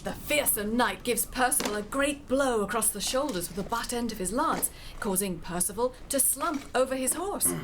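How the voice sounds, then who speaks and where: A woman's voice: fairly high pitch, lively intonation, moderate pace, hardly any pauses, noisy background. A woman narrating a scripted story in a dramatic storytelling style. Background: music.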